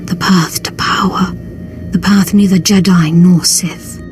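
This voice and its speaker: A woman's voice: on the low side, steady tone, a little slow, soft background music, natural voice. Woman playing an earnest conspiratorial Sith cult leader. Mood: solemn. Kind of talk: video game dialogue acting.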